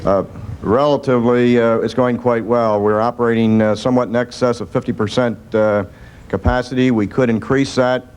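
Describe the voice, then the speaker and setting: A man's voice: mid-range voice, fairly even intonation, moderate pace, darkish tone, clean tone. A man, a government official, answering reporters in a firm, matter-of-fact way. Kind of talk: press Q&A answers.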